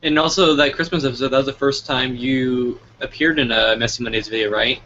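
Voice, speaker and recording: A man's voice: mid-range voice, steady tone, fairly quick, sharp tone, a little hiss or hum. A man hosting a casual interview, putting questions to his guest. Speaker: interviewer, host. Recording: dull lo-fi audio.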